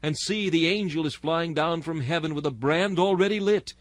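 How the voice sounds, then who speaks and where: A man's voice: a little high, moderate pace, no echo. A man reading a sermon in a solemn, exhorting, oratorical manner. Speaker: narrator reading a historical sermon.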